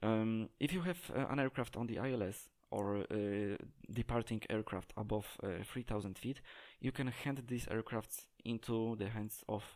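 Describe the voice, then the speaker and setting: A man giving an unscripted gameplay tutorial, talking in a hesitant, halting way as he searches for words. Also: on the low side, a little mellow. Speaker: game tutorial presenter.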